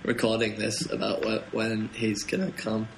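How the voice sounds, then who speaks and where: Gravelly man hosting a podcast. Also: lowish voice, completely flat delivery, normal speed. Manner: encouraging, brief interjections and laughter. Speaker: podcast host. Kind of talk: casual podcast chat. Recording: roomy echo, faint noise.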